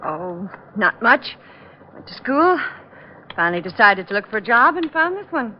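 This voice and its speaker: Guarded young American woman, polite, clipped, lively intonation, muffled old radio drama. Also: a little high, normal speed, a little hiss or hum. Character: guarded young female salon masseuse hiding cold resentment. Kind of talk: 1940s-50s American radio drama acting.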